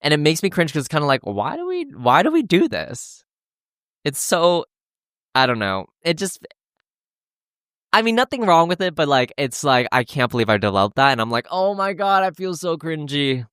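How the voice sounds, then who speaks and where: A man's voice: a little high, sing-song, normal speed, fairly bright tone, clear, resonant tone, no room sound. Young man rambling, dry close sound. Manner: rambling and candid, heavy use of "like", with frequent restarts. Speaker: podcast host. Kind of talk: casual chat about dating.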